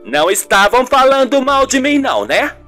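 A man's voice: extremely high pitch, very animated, moderate pace, sharp tone, soft background music, pitched above the performer's own voice, exaggerated voice. A man voicing a melodramatic, guilt-ridden older mother in an amateur radio soap. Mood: wounded, nagging.

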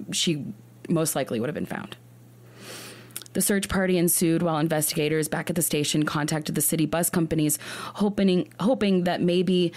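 A woman's voice: low voice, darkish tone. A woman hosting a true crime podcast, telling a case in an earnest way, often restarting her sentences. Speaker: podcast host.

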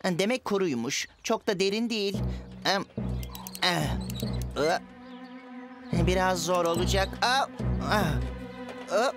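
Impatient cartoon boy: slow, sly, lively delivery over loud music. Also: on the low side. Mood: scheming, muttering. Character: impatient, scheming young schoolboy with big plans. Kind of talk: children's cartoon dub acting.

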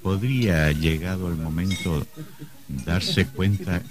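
An actor playing a grave narrator of a suspense radio drama, telling the story in a measured way. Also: male voice, low-pitched, music in the background. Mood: grave, suspenseful.

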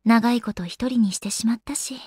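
A woman's voice: fairly high pitch, normal speed, darkish tone, breathy edge, dry recording, studio quality. A woman playing an elegant, doting young goddess in an anime game, speaking in polite, ladylike phrases. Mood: gentle, reluctant. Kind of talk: Japanese anime game voice acting.

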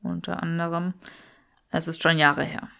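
A woman's voice: on the low side, little pitch movement, moderate pace, gravelly, sharp tone, full character voice. A woman voicing a brooding, self-reproachful, haughty man with dry wit in a fiction audiobook. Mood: rueful.

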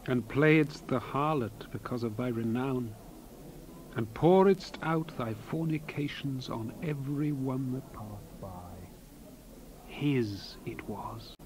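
An actor playing a solemn prophet who delivers God's reproach in a dramatized scripture reading, with grave declamatory delivery. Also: male voice, medium pitch, slight background noise, close to an everyday voice. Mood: grieved. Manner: grave, declamatory, archaic diction.

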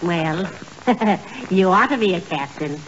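An actress playing a long-suffering, sensible wife in 1940s radio comedy style. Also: female voice, lowish voice, lively intonation, slow. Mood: coaxing. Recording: muffled, very noisy 1940s radio recording.

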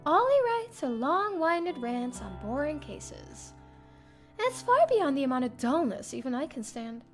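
A young woman with a high voice reads a first-person story expressively. Her intonation swings in a lively way, and music plays behind her. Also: measured pace. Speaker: streamer reading a visual novel. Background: game music.

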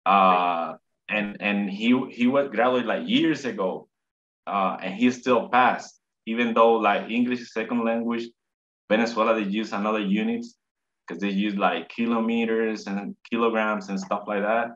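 A man in his thirties from Puerto Rico with a Puerto Rican Spanish accent, a native Spanish speaker telling his story in a casual video call interview, halting and repeating words. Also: on the low side, steady tone, fairly bright tone. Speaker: interview guest. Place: home room, indoors. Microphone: video call webcam. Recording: dull lo-fi video call audio.

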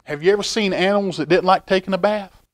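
A middle-aged man reading a picture book aloud to children in a folksy, expressive way, with chatty asides. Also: sits high, fast talker. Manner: folksy, expressive, asking the listener questions. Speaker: library storytime reader. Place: indoor library children's room.

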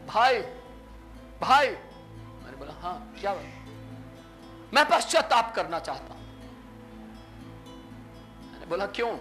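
A man in his thirties preaching a sermon on a small indoor stage, acting out conversations with lively gestures. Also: very high voice. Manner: animated preacher, acts out dialogue with sweeping gestures. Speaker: pastor.

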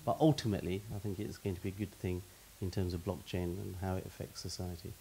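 Panelist, middle-aged man, low voice, handheld mic, faint hiss, expansive and list-heavy. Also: fairly even intonation, quick pace, gravelly, warm tone, poor audio. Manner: expansive, repeats words when starting, gives lists of examples. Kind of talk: panel discussion answer. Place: stage in a meeting hall with audience.

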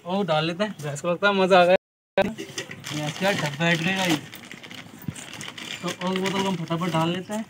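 High-voiced young man vlogging outdoors. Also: fairly even intonation, normal speed, long pauses. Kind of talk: excited casual vlog commentary to camera. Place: open dirt farmyard, outdoors. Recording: noisy outdoor yard, birds and animals.

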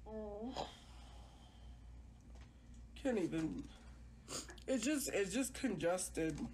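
Congested woman on a phone livestream, slow and halting, keeps restarting, faint hiss behind. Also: mid-range voice, animated, darkish tone, poor audio. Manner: rambling, hesitant, many restarts. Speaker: live streamer. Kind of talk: casual livestream chat.

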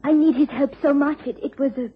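Woman acting elegant young foreign lady, accented, high husky voice, halting, pleading, muffled radio drama. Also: fairly even intonation, close to an everyday voice. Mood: anxious, apologetic.

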